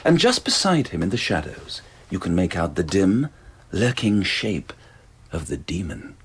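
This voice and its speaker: An actor playing a courteous, enigmatic antiquarian bookseller with a measured, cryptic, old-fashioned politeness, in an audio drama. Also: male voice, sits low, big pitch swings, a little hiss or hum, exaggerated voice. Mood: brooding, cryptic.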